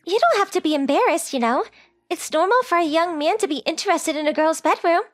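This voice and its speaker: A woman's voice: very high voice, lively intonation, a little fast. A woman voicing a sweet, polite rich teenage girl who is coy and forward, in a romantic comedy comic dub. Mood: coy, teasing. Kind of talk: anime-style comic dub dialogue.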